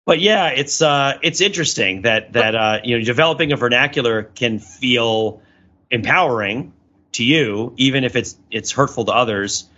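A man's voice: medium pitch, animated, normal speed, bright, forceful tone, highs cut off. A man co-hosting a casual analytical podcast, talking in a discursive way and thinking aloud as he qualifies his points. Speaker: podcast co-host.

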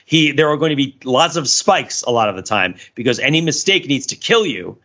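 A man's voice: mid-range voice, expressive, fairly quick, a bit bright, dull audio. A man co-hosting a podcast, explaining things in a casual, discursive way and often checking in as he goes. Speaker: podcast co-host.

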